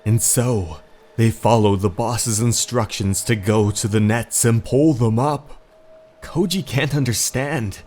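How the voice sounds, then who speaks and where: A man narrating a horror story in a slow, dramatic, brooding way. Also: mid-range voice, a little mellow.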